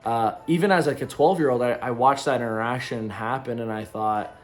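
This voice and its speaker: A man's voice: mid-range voice, a little fast. Man, 30s, vlogger, casual reflective monologue, rambling delivery, faint background music. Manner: rambling, loose, full of ums and likes. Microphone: camera in front of him.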